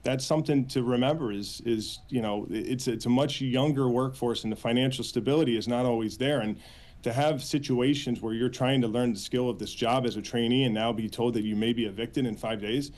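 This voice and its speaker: A man with an American accent answering as a union spokesman in a news interview, earnest, with halting phrasing and repeated words. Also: medium pitch, steady tone, darkish tone. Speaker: union official, interview guest.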